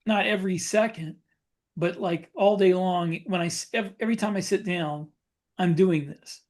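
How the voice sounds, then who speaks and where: Higher-pitched man in casual podcast talk. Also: normal speed. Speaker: podcast host, writer.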